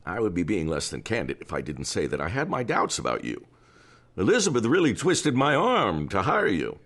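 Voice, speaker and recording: A man playing an older theater owner in an audio drama, formal and candid, speaking with measured authority. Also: fairly low pitch, wildly swooping pitch, a bit bright, exaggerated voice. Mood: candid, approving. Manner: measured, formal, patronizing yet appreciative.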